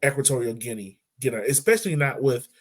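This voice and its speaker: Casual man hosting football chat, mid-pitched voice. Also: expressive, fast talker. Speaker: podcast host discussing football. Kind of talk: casual conversational sports chat.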